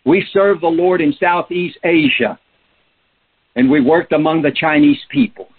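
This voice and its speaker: Middle-aged guy w/ preaching, kinda husky, sounds like a phone line. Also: on the high side, measured pace. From Peru. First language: Spanish. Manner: earnest, emphatic, asks rhetorical questions. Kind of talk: sermon.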